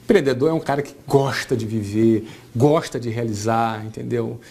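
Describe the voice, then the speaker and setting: A man's voice: mid-range voice, moderate pace, gravelly, a little mellow, husky. Brazilian man in his forties speaking informally. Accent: Brazilian Portuguese. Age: 40s. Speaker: entrepreneur giving a talk. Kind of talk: informal motivational business talk.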